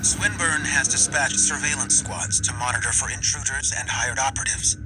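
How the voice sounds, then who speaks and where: A solemn male resistance spokesman, acted for a video game. He briefs in formal, measured phrases at a medium pitch. Loud music plays behind the voice. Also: close to an everyday voice, poor audio.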